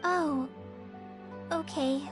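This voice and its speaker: A woman's voice: high-pitched, fairly even intonation, drawn-out words. A woman playing a timid, dutiful teenage girl apprentice in a video game, eager to help, over music. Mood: deflated.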